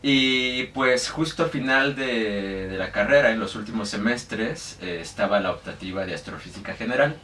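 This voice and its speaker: A man's voice: fairly low pitch, moderate pace, hardly any pauses, pushed and bright. A young Mexican man with a Mexican accent, talking in a casual interview and hesitating often, with many fillers and restarts. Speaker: guest. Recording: echoey room, mic at a distance, faint noise.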